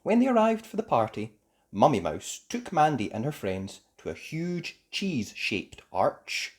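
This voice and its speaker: A woman reading a children's story aloud as an expressive narrator. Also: extremely low pitch, a little slow.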